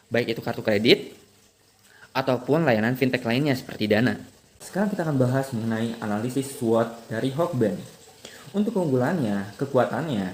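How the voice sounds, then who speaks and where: A man giving an academic presentation. He explains in a lecture tone, answers his own rhetorical questions and lists points in order. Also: mid-range voice. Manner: asks himself rhetorical questions and answers them; lists points one after another.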